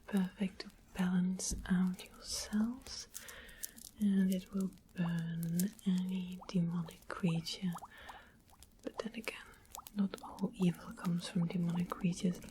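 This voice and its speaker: A woman's voice: lowish voice, level tone, slow pace. Woman playing a whispering, sweetly sly royal witch. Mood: musing, coaxing. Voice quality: soft breathy whisper. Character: sweetly sly royal witch trading rare magical items. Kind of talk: ASMR fantasy roleplay. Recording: clearly noisy close whispered recording.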